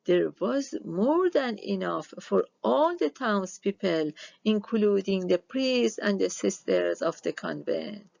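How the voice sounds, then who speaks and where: Animated woman reading a storybook aloud. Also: medium pitch, takes it slowly, creaky, dry recording, muffled recording. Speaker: storybook reader. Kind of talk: expressive read-aloud narration for children.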